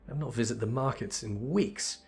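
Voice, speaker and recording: Male player as a regal young game sultana: earnest, gracious, courtly and measured, over music. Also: medium pitch, gravelly, a little mellow, lightly acted. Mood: gracious, remorseful. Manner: formal, measured, courtly phrasing. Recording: music behind the voice.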